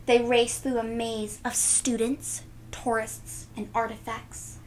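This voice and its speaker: A woman with a fairly high voice reads a children's story at a very unhurried pace. She is expressive and pauses to explain things simply to young listeners. There is some noise in the background. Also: low-quality recording. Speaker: read-aloud storyteller. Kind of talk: children's picture-book read-aloud with asides to listeners.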